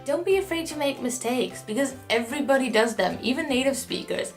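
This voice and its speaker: A woman's voice: sits high, lively intonation, fairly quick, soft background music. Earnest young German woman vlogging. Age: young adult. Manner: earnest, reflective, encouraging. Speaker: au pair vlogger. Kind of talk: casual talk to camera.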